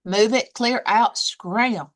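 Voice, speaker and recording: A woman voicing a brisk, confident male duck, a bossy helper in a children's storybook, giving emphatic instructions. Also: a little high, pitched above the performer's own voice.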